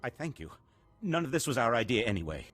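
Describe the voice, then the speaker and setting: An actor playing a deferential, uneasy company scientist in a video game, polite and formal. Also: male voice, mid-range voice, sing-song, fast, a bit bright. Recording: music under the voice.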